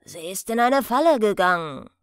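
A woman's voice: medium pitch, expressive, moderate pace, smooth, no echo, clean studio sound, lightly acted. A woman voicing a wary, blunt male criminal henchman in an audiobook, objecting plainly. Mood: concerned.

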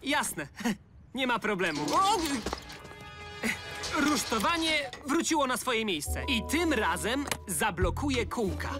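A woman's voice: a little high, very animated, slow pace, pauses often, over music. A woman voicing a playful, imaginative young male apprentice builder in a Polish cartoon dub. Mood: earnest.

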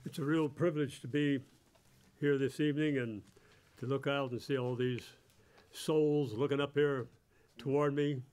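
Halting man in his eighties giving testimony. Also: mid-range voice, steady tone, a little mellow, clean tone. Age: 80s. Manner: halting, repeats words. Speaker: church member giving testimony. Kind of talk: church testimony, read partly from notes. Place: church sanctuary at a pulpit. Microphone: microphone on a stand at the pulpit.

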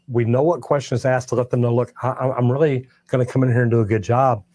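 A man's voice: medium pitch, fairly even intonation, fast talker, darkish tone. An older man with a Texan accent, a master plumber hosting a casual livestream and answering chat questions in a folksy, plainspoken way. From Texas. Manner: folksy, plainspoken, drawling storyteller. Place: studio set with shelves of tools and merch. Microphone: clip-on lapel mic.

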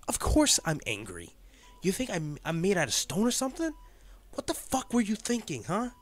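A man playing an intense, protective male field agent in a dramatic audio roleplay, speaking emotionally and emphatically to his partner. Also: on the high side. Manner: emotional, emphatic, addressing the listener directly.

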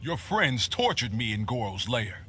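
A man's voice: mid-range voice, big pitch swings, a little slow, over music. A man playing a tough, combative army commander with an American accent in a video game. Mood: defiant. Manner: blunt, combative. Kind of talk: video game character dialogue. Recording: game audio with loud ambient effects.

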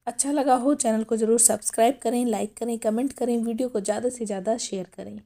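A woman hosting a pregnancy health channel, explaining step by step and calling her viewers friends, her voice a little hoarse from a cough. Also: fairly high pitch, steady tone, quick pace, mellow. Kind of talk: informational talk.

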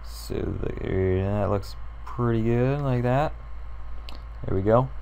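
Hoarse young man with a low voice. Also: slow pace, mellow, a little hiss or hum. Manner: rambling, filler-heavy, thinks aloud while clicking. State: hoarse, sore throat. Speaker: tutorial creator. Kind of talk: casual screen-recorded design tutorial.

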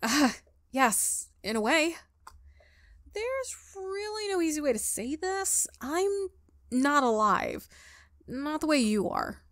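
Woman acting out a nervous friendly ghost, visual novel character, flustered, hesitant, raised pitch, dry recording. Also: sing-song, takes it slowly, fairly bright tone, dry recording, heavily acted. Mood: nervous, eager. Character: shy, awkward, nervous friendly college ghost.

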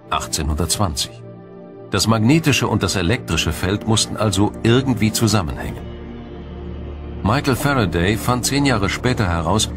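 A man with a deep, low voice narrates a scripted science documentary. His delivery is measured and explanatory. Music plays clearly behind him. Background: background music.

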